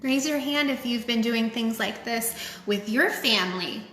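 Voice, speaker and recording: Bright, echoey woman reading to children. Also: fairly high pitch, a little slow, slight background noise. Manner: expressive, simple child-directed phrasing. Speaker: children's author reading her own book. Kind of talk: read-aloud picture book storytelling with chatty asides to children. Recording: echoey, reverberant room.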